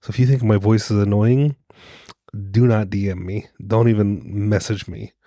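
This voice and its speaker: Host type, man, low soothing voice, stuffy with a cold, goofy rambling delivery, dry close mic. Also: fairly even intonation, normal speed, dark tone, dry recording. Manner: joking, self-mocking, meandering with restarts. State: congested from a cold. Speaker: podcast co-host. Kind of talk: casual rambling monologue.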